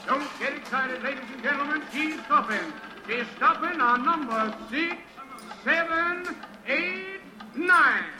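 An actor playing a brash carnival barker with showy sing-song patter, in the style of 1940s radio drama. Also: male voice, very high-pitched, roomy sound, exaggerated voice, rough recording. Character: brash male carnival barker running a wheel of chance.

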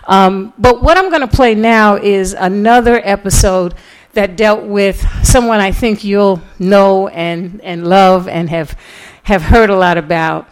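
A woman giving an informal lecture, telling stories in a halting way with deliberate emphasis. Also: mid-range voice, smooth. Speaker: lecturer and author.

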